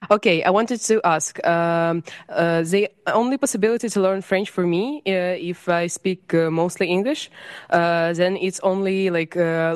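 A woman's voice: lowish voice, smooth, no room sound, clean studio sound. A woman exchange student asking a question, hesitant with many fillers. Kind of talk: question at an info session.

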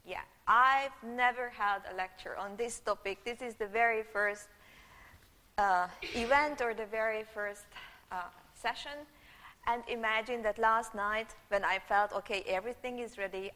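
Bright-voiced Hungarian woman lecturing in a hall. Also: mid-range voice, fairly even intonation, unhurried. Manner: chatty, checks in with the audience often. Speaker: university lecturer. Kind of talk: live university lecture. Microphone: room microphone. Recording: long hall reverb.

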